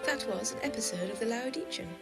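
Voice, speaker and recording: A woman's voice: a little high, quick pace, vocal fry. A woman reading scripted closing credits as presenter, with music playing behind her. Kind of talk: scripted podcast sign-off. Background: loud music.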